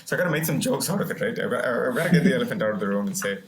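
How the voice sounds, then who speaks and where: A man's voice: medium pitch, expressive, racing, a little hiss or hum. A man in his forties hosting a video call interview on a headset, conversational and affirming. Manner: conversational, frequent backchannels. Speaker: podcast host.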